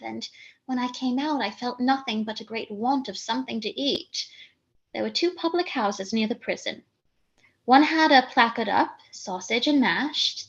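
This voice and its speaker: A woman's voice: on the high side, highs cut off, close to an everyday voice. An actress playing a flighty, self-important young lady in a theatrical comedy, arch and declamatory. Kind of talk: theatrical radio comedy monologue and dialogue.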